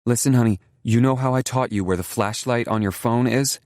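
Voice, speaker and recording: Protective, firm woman in a crisis, voiced by a man, soft-spoken but urgent, dry booth sound. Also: mid-range voice, fairly quick, no echo, voice pushed up, natural voice. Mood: soothing, urgent. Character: protective determined adult woman, calm under pressure. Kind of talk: audiobook character voice.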